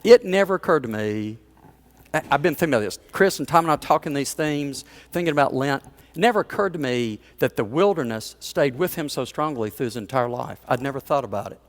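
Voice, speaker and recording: Male pastor, conversational storyteller in the pulpit, chuckling asides, mid-pitched voice. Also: lively intonation, brisk. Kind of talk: sermon.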